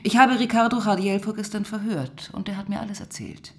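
A woman playing a composed, probing police inspector who narrates and questions in a measured, matter-of-fact way in a radio crime drama. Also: medium pitch, fairly even intonation, lightly acted. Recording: studio radio drama with music.

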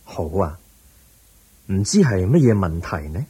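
A man's voice: low-pitched, big pitch swings, measured pace, dark tone, slightly rough, heavily acted. A man playing a serene, solemn, benevolent sage in a radio drama, stately in manner.

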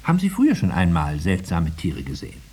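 An actor playing a condescending, clinical psychiatrist in a 1950s radio drama, dropping into drawn-out murmurs. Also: male voice, low-pitched, wildly swooping pitch, dark tone, slightly rough, heavily acted. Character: condescending male psychiatrist questioning his patient. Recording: noisy 1950s radio recording with clicks.

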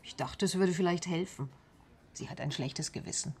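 A woman's voice: lowish voice, moderate pace, a little mellow, slight background noise, close to an everyday voice. A woman acting in a radio play as a level-headed, pragmatic private detective, matter-of-fact and composed.

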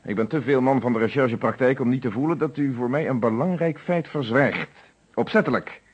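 An actor playing a stern, probing male police inspector in an old Dutch radio detective play, speaking formally and with measured authority. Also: medium pitch, husky, close to an everyday voice. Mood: pressing. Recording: dull lo-fi old radio recording.

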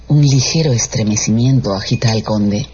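A man narrating a literary radio drama in a measured, theatrical storytelling style. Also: on the low side, noisy background. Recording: muffled radio production with loud music.

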